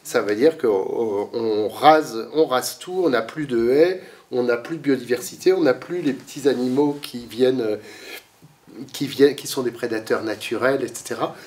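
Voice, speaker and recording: A man's voice: medium pitch, normal speed, a little mellow, far from the mic. A man with a cold giving a talk to a library audience, lecturing with lots of fillers and restarts, coughing now and then. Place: library meeting room.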